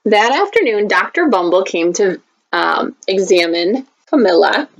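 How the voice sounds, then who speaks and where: A woman's voice: medium pitch, very animated, unhurried, dull audio, a little hiss or hum. A woman teacher reading a children's storybook aloud expressively, drawing out key words for emphasis.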